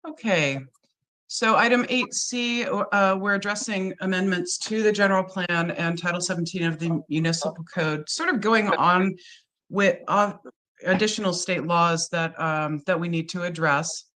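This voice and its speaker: A woman's voice: on the low side, moderate pace, a bit bright. Sick-sounding woman giving a planning briefing. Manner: explanatory, with frequent ums and uhs. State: under the weather, with a deeper, rougher voice than usual. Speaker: city planning manager. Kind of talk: staff presentation to a commission.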